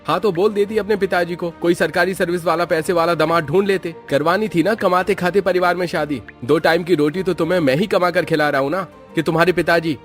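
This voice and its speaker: A man's voice: high-pitched, steady tone, brisk, hardly any pauses, soft background music, lightly acted. A man voicing an irritable, bitter working-class village husband in a cartoon story, sarcastic and defensive. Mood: angry, sarcastic. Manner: sarcastic, grumbling. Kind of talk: Hindi cartoon story acting.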